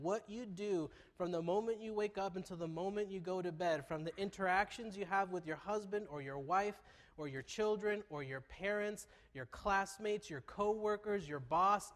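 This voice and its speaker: A male pastor with a stuffy, nasal, congested voice delivers a sermon, mixing earnest preaching with self-deprecating jokes. His voice sits on the higher side for a man. The sound carries a long reverb from the church hall. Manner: conversational, self-deprecating humor. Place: church sanctuary.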